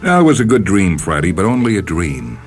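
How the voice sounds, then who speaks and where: A man voicing a lonely, wistful stranded spaceman who muses gently, in a radio story reading. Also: low voice, very animated, normal speed. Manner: wistful, musing, talking gently to himself. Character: lonely stranded spaceman, wistful, talking to his animal friend. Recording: music bed under the voice.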